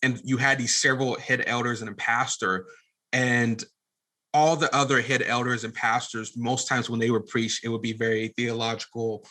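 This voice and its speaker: Guy guest on a podcast, bright forward voice, mid pitch, rambly earnest casual chat. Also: steady tone, normal speed, low-quality recording. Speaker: podcast guest, filmmaker and former pastor. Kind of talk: casual conversational storytelling.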